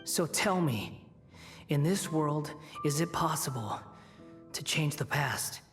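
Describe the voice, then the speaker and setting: Proud, sardonic young wanderer, aloof and cutting. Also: male voice, a little high, expressive, takes it slowly, music in the background. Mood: grave. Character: proud, bitter, sardonic young male wanderer with dark past. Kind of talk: video game character acting.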